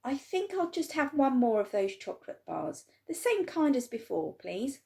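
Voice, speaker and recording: A woman voicing a shy young boy who speaks quietly and timidly. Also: high voice, sing-song, moderate pace, creaky, voice pushed up, heavily acted.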